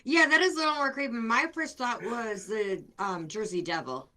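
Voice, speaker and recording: A woman's voice: fairly high pitch, pushed and bright. A woman from Wisconsin with a Wisconsin accent, co-hosting a casual podcast chat and teasing her partner bluntly. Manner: teasing, blunt, quick to interrupt. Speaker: podcast co-host.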